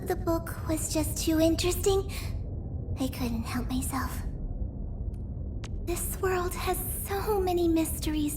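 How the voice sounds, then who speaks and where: A woman playing an earnest, shy, bookish young woman geologist in a video game, with a soft girlish voice and polite, hesitant delivery. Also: very high-pitched, background noise. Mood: flustered, shy. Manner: polite, hesitant, stammering when flustered. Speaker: game character voice actor. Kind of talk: video game character voice lines.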